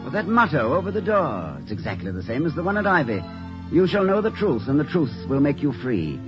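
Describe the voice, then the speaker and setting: Casting: doting, dreamy college president, flustered and effusive, very animated delivery, vintage radio drama sound with music behind. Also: male voice, fairly high pitch, brisk. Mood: dreamy, confused. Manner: flustered stammering, effusive, genteel turns of phrase. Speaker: actor in radio comedy drama. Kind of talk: 1950s radio acting. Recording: muffled old radio broadcast with music.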